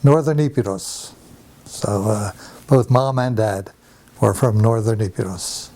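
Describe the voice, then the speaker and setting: An older man from New Jersey with a mellow, warm voice reminisces about his past. He speaks unhurriedly, stopping often to gather his thoughts with small fillers. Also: lowish voice, a little slow. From New Jersey, USA. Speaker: interviewee. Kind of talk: oral history interview. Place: home.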